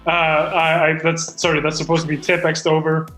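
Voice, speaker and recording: High, bright, hesitant man reading a script. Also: completely flat delivery, normal speed, echoey room, faint music behind, rough recording. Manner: hesitant, stumbling, apologetic. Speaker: amateur screenwriter reading his script. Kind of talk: reading stage directions aloud, live comedy table read.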